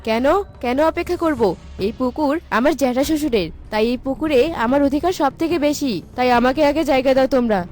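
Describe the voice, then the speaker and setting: A woman voicing a haughty, sharp-tongued young village wife in a cartoon, scolding and bossy. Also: sits high, fairly quick, lightly acted. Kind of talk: cartoon voice acting. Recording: music behind the voice.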